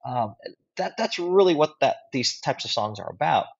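A man's voice: mid-range voice, animated, moderate pace, creaky, slightly rough. A man giving conversational opinion commentary as a guest, blunt, informal and emphatic. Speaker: guest commentator, political strategist and writer. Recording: slightly dull, lo-fi audio.

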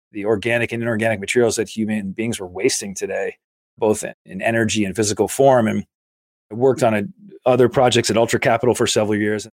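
A man in his forties from Massachusetts, a guest explaining his work in a steady, measured way during an interview. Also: lowish voice, no room sound. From Massachusetts, USA. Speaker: guest, infrastructure investor. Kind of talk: conversational interview.

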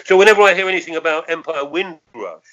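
A man's voice: on the high side, expressive, fast talker, fairly bright tone. Reflective older London man, muffled recording. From London, England. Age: 60s. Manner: reflective, hesitant, circling back to qualify points. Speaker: interviewee. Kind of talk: reminiscing in an oral history interview.

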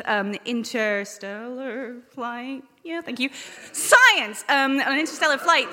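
A woman talking informally and excitably at a live event in a big indoor hall. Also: fairly high pitch. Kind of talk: informal live panel talk. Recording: very echoey hall with background noise.

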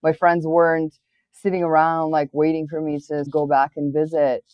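A woman's voice: sits low, level tone, moderate pace, no echo, lo-fi sound. A woman from Bulgaria whose first language is Bulgarian, speaking as a translator in an interview in a reflective, thoughtful way with frequent restarts. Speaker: interview guest, literary translator. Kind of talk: conversational interview.

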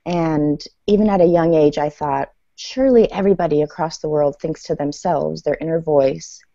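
A woman's voice: deep voice, moderate pace, gravelly, mellow, no echo. A woman in her thirties from Iran, a native Farsi speaker, telling her personal story as an interview guest. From Iran, then the United States. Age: 30s. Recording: dull lo-fi audio.

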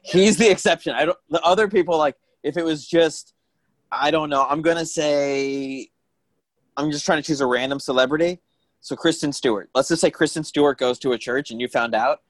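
A man's voice: fairly high pitch, a little fast, no room sound. A man in his late thirties co-hosting a casual chat, joking and sardonic, filling his talk with "like". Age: 30s.

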